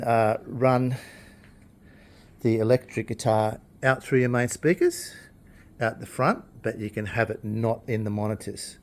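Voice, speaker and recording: A man talking through equipment in an informal tutorial, explaining things in a plain-spoken, unhurried way. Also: medium pitch. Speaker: instructor demonstrating PA equipment.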